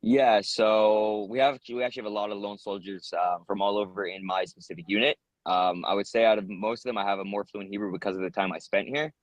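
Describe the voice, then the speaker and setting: A man's voice: on the low side, fairly even intonation, brisk, hardly any pauses, no echo, rough recording. A young American man answering interview questions in an earnest, rambling way, with many ums and uhs. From United States. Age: young adult. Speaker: interviewee, soldier.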